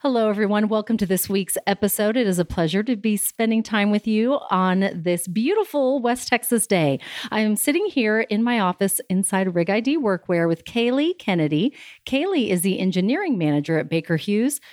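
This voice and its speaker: A woman's voice: mid-range voice, expressive, normal speed, a little mellow, no room sound, clean studio sound. A woman hosting a podcast from her office, upbeat and effusive, moving from a scripted intro into casual interview chat. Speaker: podcast host.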